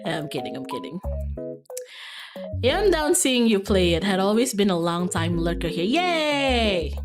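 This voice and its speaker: A woman's voice: medium pitch, very animated, unhurried. A woman streamer chatting casually with her viewers in a playful, giggly, rambling manner. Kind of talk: casual livestream chat with viewers. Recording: music behind the voice. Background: background music.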